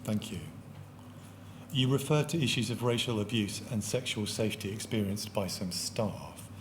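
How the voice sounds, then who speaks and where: A man, questioning a witness at a public inquiry in a measured, precise and courteous way. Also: on the low side, slight background noise. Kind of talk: formal questioning. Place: large public hearing hall.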